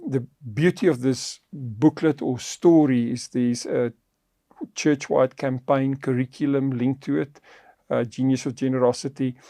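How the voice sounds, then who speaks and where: Gravelly, mellow man. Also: mid-range voice, dry recording, studio quality. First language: Afrikaans. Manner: earnest, preacherly, teaching tone. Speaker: podcast co-host.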